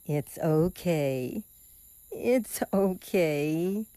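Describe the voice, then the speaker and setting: A woman playing a gentle mother in an audio horror drama, soothing her child in a hushed, cooing way. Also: fairly low pitch, lively intonation, drawn-out words, a little hiss or hum. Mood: tender, soothing.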